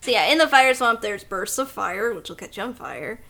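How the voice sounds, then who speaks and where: A woman's voice: mid-range voice, animated, normal speed, gravelly, pushed and bright. A woman hosting a casual film podcast, recapping the story and playfully acting out bits of it. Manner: acts out quoted lines playfully.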